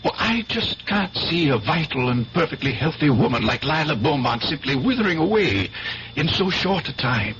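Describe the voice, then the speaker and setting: An actor playing an earnest, blunt doctor, grave and emphatic, in a 1970s radio drama. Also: male voice, on the high side, very animated, a bit bright, slight background noise. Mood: grave, baffled. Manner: grave, emphatic, deliberate phrasing. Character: earnest, worried doctor, a man, blunt and insistent. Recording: dull, hummy old radio recording.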